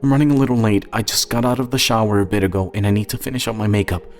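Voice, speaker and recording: A man voicing a friendly, gentle adult woman with a casual way of talking in an audio story. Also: on the low side, fairly even intonation, rapid-fire, faint music behind, pitched above the performer's own voice, natural voice. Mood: hurried, friendly.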